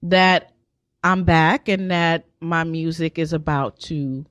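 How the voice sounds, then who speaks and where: A woman's voice: low voice, steady tone, takes it slowly, fairly bright tone, clear, resonant tone, lo-fi sound. A woman speaking as a singer guest in a casual interview, telling anecdotes and repeating phrases for emphasis.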